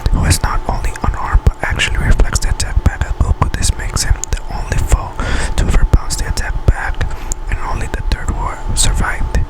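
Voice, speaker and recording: A man whispers a list of facts in breathy, unvoiced ASMR style, often stumbling over and repeating his words as he reads. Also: rough recording. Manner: halting delivery, stumbles and repeats words while reading. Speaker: ASMR video creator and host.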